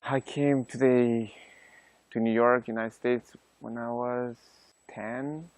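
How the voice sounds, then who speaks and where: A young man from Mexico, speaking in a hesitant, halting way in a documentary interview. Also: medium pitch, steady tone, a little mellow, no room sound.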